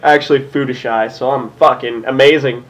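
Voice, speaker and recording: A man's voice: mid-range voice, fairly even intonation, normal speed, slight background noise. A man reading fan fiction aloud for comedy, casual and giggly, with amused asides.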